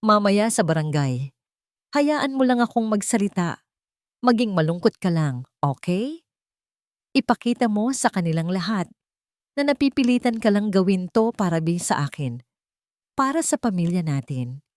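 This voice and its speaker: A woman's voice: mid-range voice, sing-song, normal speed, pauses often, no room sound, studio quality, close to an everyday voice. A woman voicing a scheming, sweet-talking daughter-in-law in a dramatic story, coaxing and bossy.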